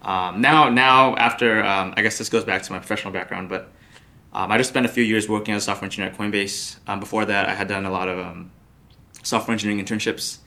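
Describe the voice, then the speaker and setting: A man's voice: on the low side, steady tone, quick pace, gravelly, sharp tone. A young man from the United States, a podcast guest, answering thoughtfully with many fillers and restarts. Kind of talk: podcast interview.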